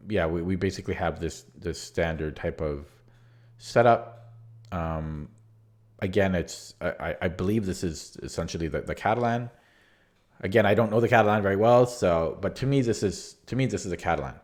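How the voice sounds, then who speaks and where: A man's voice: low voice, moderate pace, pauses often. A man, losing his voice, hesitantly and candidly talking through a chess game he played, reading from his notes. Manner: hesitant, many restarts, relaxed and candid. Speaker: chess channel host reviewing his own game. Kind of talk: casual game analysis from notes.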